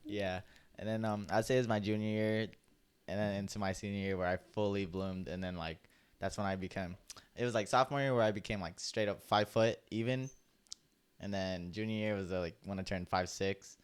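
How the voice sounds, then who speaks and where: Laid-back young man with a smooth voice. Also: lowish voice, fairly even intonation, a little fast. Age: young adult. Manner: laid-back storytelling, heavy use of "like". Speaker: podcast co-host. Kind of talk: casual chat.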